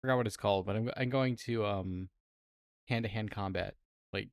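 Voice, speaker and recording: A man's voice: lowish voice, moderate pace, no room sound. Young man chatting with a dry sound. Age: early 20s. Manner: laughs often, many "like" fillers. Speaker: podcast co-host. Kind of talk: casual chat.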